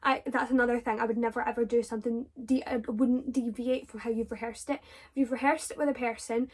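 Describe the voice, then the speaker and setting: A young woman with a Scottish accent, her voice sitting a little high. She talks casually through viewers' questions, rambling with many fillers and restarts. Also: steady tone, fairly quick, breathy edge. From Scotland. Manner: chatty, with frequent fillers and self-corrections. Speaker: drama student vlogger.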